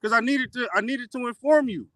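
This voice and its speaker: A caller from the Los Angeles area arguing back defiantly on a livestream, calling the host sir and bro. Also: male voice, very high voice, fairly quick, bright, forceful tone. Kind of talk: heated argument on a livestream call.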